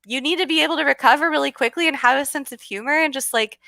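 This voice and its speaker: A woman's voice: high-pitched, fast talker, vocal fry, bright, forceful tone, dry recording. A bubbly woman with a Californian American accent, chatting casually as a guest and often restarting her sentences. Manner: bubbly, many fillers and restarts. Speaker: guest speaker. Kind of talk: casual video call chat.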